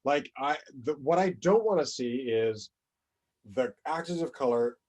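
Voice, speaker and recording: A man's voice: mid-range voice, measured pace. A man co-hosting a podcast, talking casually and working through his points with fillers. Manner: conversational, hedging with fillers, expressive. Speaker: podcast co-host. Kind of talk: casual chat.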